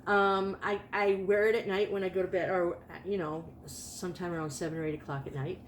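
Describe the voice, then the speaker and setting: A woman's voice: lowish voice, fairly even intonation, fairly quick, slight background noise. Chatty woman in her late fifties. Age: 50s. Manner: chatty, rambling, with frequent restarts. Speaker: vlogger. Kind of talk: casual personal testimonial.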